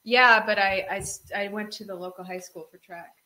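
A young woman co-hosting a casual podcast chat, telling relaxed stories and laughing often. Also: mid-range voice, moderate pace. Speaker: co-host.

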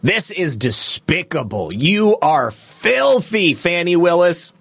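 A man's voice: a little high, big pitch swings, slow pace, gravelly, a bit bright, breathy edge, no room sound. A man hosting a political livestream, giving opinionated news commentary with casual asides in a scornful, indignant, crudely humorous manner.

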